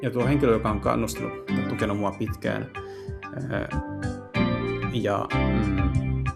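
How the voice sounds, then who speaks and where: A man translating game dialogue casually and haltingly, searching for words as he goes. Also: on the low side, creaky, over loud music. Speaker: gaming video host and live translator.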